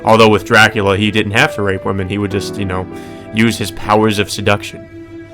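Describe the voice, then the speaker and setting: Man, lowish voice, let's player, visual novel narration, casual asides, faint background music. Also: little pitch movement, brisk. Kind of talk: story narration with casual commentary. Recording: music under the voice.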